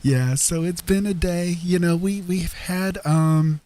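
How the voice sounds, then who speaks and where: A man's voice: high voice, takes it slowly, mellow. A man hosting a casual late-night livestream, rambling informally and a little sweary. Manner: rambling, informal, mildly sweary, self-amused. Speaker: live stream host.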